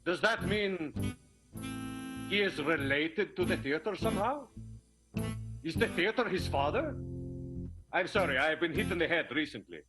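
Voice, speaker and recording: A man's voice: fairly high pitch, animated, moderate pace, pauses often, lightly acted. A man playing a dim, earnest Dutchman in an improvised comic radio soap, stammering and wordy in formal phrases. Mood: puzzled, earnest. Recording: guitar music behind the voice. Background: plucked guitar music.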